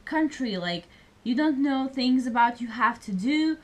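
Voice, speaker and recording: A woman's voice: on the high side, expressive, measured pace. Chatty young woman from Serbia. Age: young adult. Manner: chatty, many fillers, speaks English as a second language. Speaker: student vlogger. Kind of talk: casual vlog chat.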